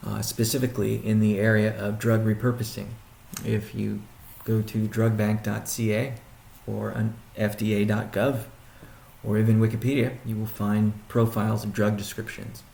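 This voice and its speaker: A man speaking as a chief executive on a conference call, answering questions in a halting, deliberate way with pauses and restatements, in a roomy recording. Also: fairly low pitch, level tone, gravelly, dark tone, slight background noise. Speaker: company CEO hosting a weekly conference call. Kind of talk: question-and-answer business briefing. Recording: roomy, echoing sound.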